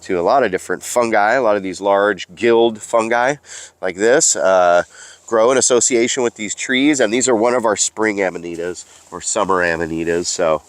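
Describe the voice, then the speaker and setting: A man with a somewhat low, slightly stuffy voice talks through an outdoor teaching vlog in a forest. He explains casually as he goes, pausing on ums and uhs. The sound is dry and close. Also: dry recording. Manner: chatty, explaining as he goes, hesitant fillers. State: stuffy from allergies. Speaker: mycology educator and host.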